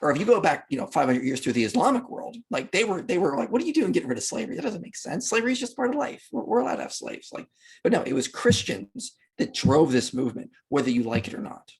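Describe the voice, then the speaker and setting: A man's voice: on the high side, big pitch swings, fast talker, vocal fry, slightly rough. A man speaking as a podcast guest, explaining history in an emphatic, conversational way and acting out imagined quotes. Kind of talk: animated explanatory discussion of history.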